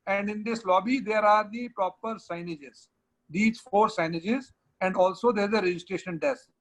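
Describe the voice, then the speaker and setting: A man's voice: high-pitched, normal speed, poor audio. A man with an Indian English accent hosting an online webinar, explaining a virtual trade fair and giving directions to a colleague. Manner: directs a colleague, switches between English and Hindi. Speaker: webinar host from the organizing body.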